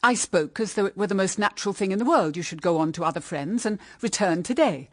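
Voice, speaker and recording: A woman's voice: medium pitch, animated, fast, no echo, clean studio sound, lightly acted. An actress playing a stern, moralising middle-aged English mother in a period radio drama, with formal, emphatic diction. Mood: grave recounting.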